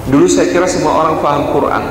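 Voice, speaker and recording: A man giving a lively, storytelling sermon in a mosque, with high emphatic peaks. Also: fast talker. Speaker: preacher. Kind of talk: mosque lecture. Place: mosque hall. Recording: echoey mosque hall, faint hiss.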